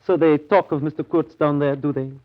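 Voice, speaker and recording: An actor playing a grave, evasive colonial trading station manager, measured and ominous in a radio drama. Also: male voice, on the high side, steady tone, lightly acted. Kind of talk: 1930s radio drama acting. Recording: dull, lo-fi 1930s radio recording.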